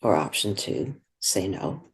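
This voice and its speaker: Slow, husky meditation guide. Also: sits low, very animated. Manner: gentle, unhurried, soothing with long pauses.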